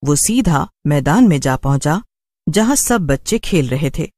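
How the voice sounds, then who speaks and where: Animated male storyteller, dry sound. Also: fairly high pitch, measured pace, dry recording. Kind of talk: scripted narration of a children's horror story.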